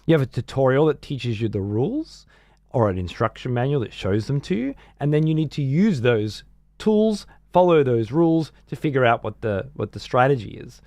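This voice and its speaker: A hoarse man casually commenting on a game he is streaming, rambling and swearing freely. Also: medium pitch, lively intonation, moderate pace, darkish tone, dry recording.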